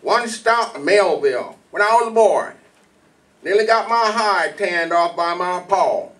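A man playing a folksy, rustic, halting small-town constable in a 1940s radio drama. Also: sits high, lively intonation, a bit bright. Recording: distant microphone in a hall.

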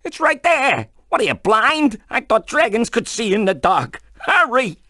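A man's voice: very high voice, big pitch swings, a little slow, sharp tone, no room sound, studio quality, heavily acted. A man voicing a nervous, dramatic talking pig in a cartoon video game. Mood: urgent. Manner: anxious, dramatic. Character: nervous, fretful talking pig, a captive on vacation.

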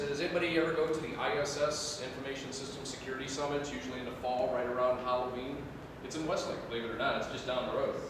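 A middle-aged man giving a casual, joking talk to a meetup group in a meeting room. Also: medium pitch. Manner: chatty, joking, loose. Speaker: presenter. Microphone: far room mic. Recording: echoey room, mic far off, hiss.

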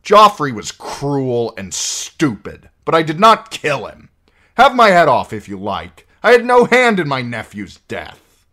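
A man's voice: medium pitch, huge pitch swings, a little slow, pushed and bright, pitched above the performer's own voice, full character voice. A man voicing an angry, defiant dwarf lord on trial. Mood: defiant anger.

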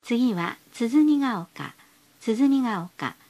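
High-pitched female bus announcer, lively intonation. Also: very slow. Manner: polite, formal, carefully enunciated phrasing. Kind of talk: scripted recorded bus stop announcements.

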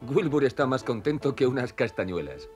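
A man's voice: mid-range voice, big pitch swings, normal speed. A man narrating a children's cartoon in a playful storytelling manner, with music behind.

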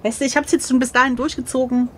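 A woman chatting casually and expressively as she plays through an adventure gamebook, quick to exclaim. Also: sits high, little pitch movement, slight background noise. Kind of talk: casual chat while playing.

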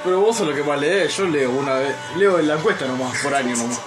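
A man's voice: fairly high pitch, fast talker, over music. A young man with an Argentine Spanish accent chatting casually in a group, recorded indoors by a camera across the table. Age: young adult. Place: small tatami inn room, indoors.

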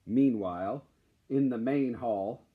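A man's voice: mid-range voice, takes it slowly, narrow, tinny audio. A man in his forties, a teacher reading aloud to children in an encouraging, playful way. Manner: animated, encouraging. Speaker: primary school teacher. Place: small classroom, indoors.

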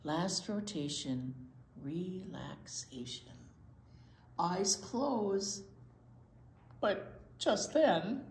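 An older woman reading a picture book aloud to children as a storytime teacher, animated and savoring the words. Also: lowish voice, drawn-out words, long pauses. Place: indoor library storytime room. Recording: roomy sound, faint room noise.

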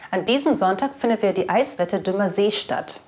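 A young woman reads the news as a presenter in a studio. Her voice sits at a medium pitch and is picked up by a clip-on microphone. The delivery is scripted. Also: a little fast, a little mellow, a little hiss or hum. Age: young adult. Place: indoor studio. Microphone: clip-on lapel microphone.

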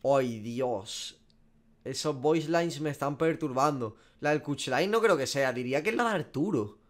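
A man's voice: medium pitch, expressive, moderate pace. A man, giving casual, profanity-laced reaction commentary as a gaming streamer. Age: 20s. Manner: slangy, profane. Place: small room at home.

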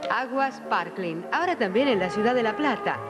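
A woman's voice: on the high side, animated, normal speed. A middle-aged woman with a Buenos Aires accent reading advertisements as a polished radio announcer. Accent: Argentine, Buenos Aires. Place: TV studio. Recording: loud music, old TV audio. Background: loud music.

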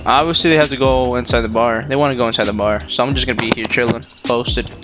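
A young man talks through a shooter match in a slangy, easygoing way and keeps breaking into loud, excited yelling. His voice sits in a middle pitch with a slight morning depth. Game music plays clearly under his voice. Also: fast talker. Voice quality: deeper than usual after waking. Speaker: gaming YouTuber. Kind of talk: live gameplay commentary.

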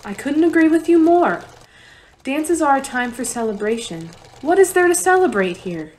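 A woman's voice: high voice, expressive, moderate pace, darkish tone. A woman acting a determined, anxious young refugee mother in a radio play, speaking earnestly. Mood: wry, busy.

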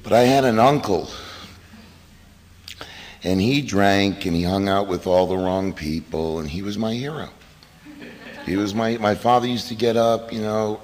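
Wisecracking middle-aged man, very deep voice. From US East Coast. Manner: blunt, wisecracking storyteller. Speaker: AA meeting speaker. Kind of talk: recovery talk to a meeting. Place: meeting hall. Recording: hall reverb from a PA.

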